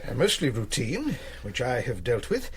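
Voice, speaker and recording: An actor in a radio drama playing a diligent, quietly persistent German military aide who speaks politely, carefully and formally. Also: male voice, medium pitch, huge pitch swings, normal speed, breathy edge, heavily acted. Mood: earnest, dutiful.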